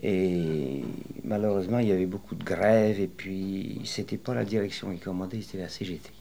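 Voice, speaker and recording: A retired man in his late sixties from western France, telling his life story in an interview, rambling and hesitant with many pauses. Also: deep voice, vocal fry, dark tone, a little hiss or hum. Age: 60s. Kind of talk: oral history interview.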